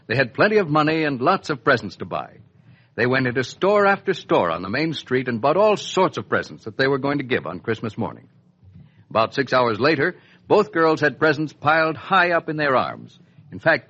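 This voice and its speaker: A man announcing and narrating a 1950s radio serial in a scripted, dramatic storytelling style with deliberate emphasis. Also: mid-range voice, a bit bright, husky. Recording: muffled old 1950s radio recording.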